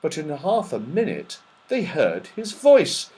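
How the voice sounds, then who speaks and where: A man narrating a children's storybook in an expressive, dramatic, animated way. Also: a little high, slow, husky. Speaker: audiobook narrator.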